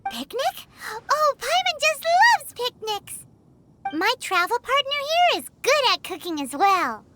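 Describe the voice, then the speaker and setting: A woman voicing a tiny, excitable, food-loving female fairy companion in a video game, with a squeaky childlike voice. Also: unhurried, faint music behind, full character voice. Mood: eager. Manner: refers to self in third person, exclamatory. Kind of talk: English video game character acting.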